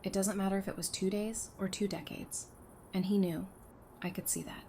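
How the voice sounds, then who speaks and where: A woman's voice: mid-range voice, fairly even intonation, normal speed, a little mellow, lightly acted. A woman acting a caring, blunt wife and mother with casual, wry banter in an audio drama. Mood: sympathetic. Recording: noticeable background noise.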